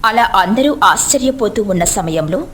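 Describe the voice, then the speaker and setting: A woman's voice: medium pitch, expressive, moderate pace. A woman narrating a scripted devotional moral story as a storyteller.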